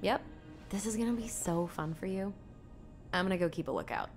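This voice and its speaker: Young American small-town woman, earnest, conciliatory, conversational, mid pitch, video game dialogue, music underneath. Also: lively intonation, brisk, creaky. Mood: quiet, casual. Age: young adult.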